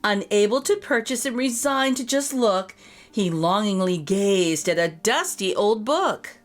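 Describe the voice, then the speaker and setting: A woman reading a rhyming children's story aloud as an expressive storyteller. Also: medium pitch, measured pace. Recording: voice over background music. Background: music.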